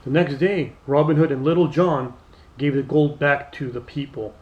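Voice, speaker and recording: A man narrating a children's storybook aloud in an expressive, scripted read-aloud style. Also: fairly high pitch, moderate pace, lo-fi sound.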